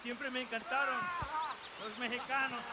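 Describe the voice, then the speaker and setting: An actor playing a mocking captor in a video game, with an exaggerated, taunting delivery. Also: male voice, very high voice, little pitch movement, a little fast. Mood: sneering. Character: mocking, theatrical male captor, toying with a prisoner. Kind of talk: video game cutscene acting. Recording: very noisy, distant game scene.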